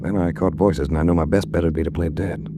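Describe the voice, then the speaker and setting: An actor playing a suave, wry hard-boiled investigator in a 1940s radio drama, with a dry, urbane delivery. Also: male voice, sits low, steady tone, fast, heavy background noise, close to an everyday voice. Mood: weary narration. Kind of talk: 1940s radio detective acting and narration. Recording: restored 1940s radio recording.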